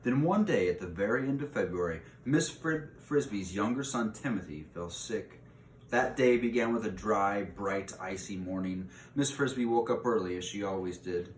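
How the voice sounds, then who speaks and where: In an echoey room, away from the mic, a man with a fairly low voice reads a children's book aloud. His delivery is informal, and he corrects himself when he trips on a phrase. Faint noise sits in the recording. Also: animated, moderate pace. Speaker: read-aloud host. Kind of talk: reading a children's novel aloud with casual asides. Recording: roomy echo, distant mic, faint noise.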